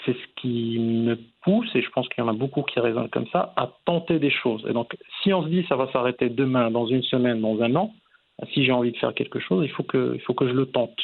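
A man in his forties giving measured, reflective answers as the guest in an interview. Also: medium pitch, vocal fry, phone-quality sound. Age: 40s.

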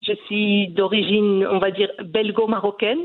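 A woman's voice: mid-range voice, level tone, a little slow. Woman with a tinny, phone-like sound. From Belgium. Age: 40s. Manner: earnest, hesitant with many fillers. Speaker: cooking YouTuber, guest. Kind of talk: interview answers.

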